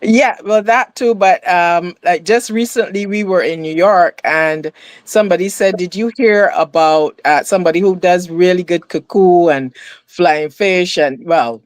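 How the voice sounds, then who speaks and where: A woman's voice: fairly low pitch, unhurried, dry recording. Hesitant woman on a dry video call. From Barbados. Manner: hesitant, many fillers. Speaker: guest. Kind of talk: casual video call chat. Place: home in the US.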